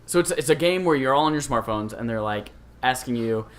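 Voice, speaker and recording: A man's voice: mid-range voice, expressive, a little fast. A young adult man hosting a casual conversation about video games. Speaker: podcast host.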